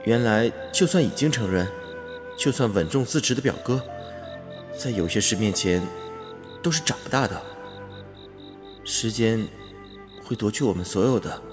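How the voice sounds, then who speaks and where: A man playing a loyal, impulsive young noble cousin in a historical audio drama, earnest and expressive. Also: lowish voice. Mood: wistful.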